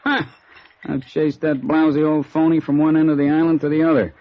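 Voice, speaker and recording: A man's voice: fairly high pitch, little pitch movement, a little fast, lightly acted. Man playing a breezy, streetwise cop, muffled sound. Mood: casual, sardonic. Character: breezy, streetwise, wisecracking New York police detective. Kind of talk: 1950s radio noir acting. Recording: muffled vintage radio recording.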